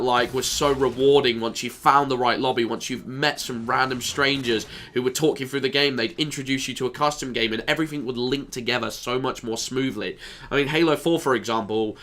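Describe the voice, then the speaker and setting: A man's voice: mid-range voice, bright, forceful tone. A man with a nasal voice, stuffy from allergies, giving a rambling, self-deprecating commentary over his gameplay. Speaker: gaming YouTuber.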